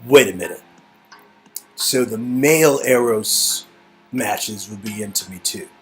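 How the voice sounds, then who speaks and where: A man's voice: on the low side, measured pace, husky, soft background music. A man casually performing game dialogue in a hesitant, rambling, comic way, often repeating words. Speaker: performer reading game script.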